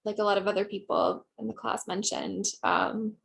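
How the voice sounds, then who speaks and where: A woman's voice: medium pitch, steady tone, normal speed, vocal fry, fairly bright tone. A woman student talking casually and tentatively in a class discussion, peppering her speech with filler words. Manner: tentative, heavy use of "like".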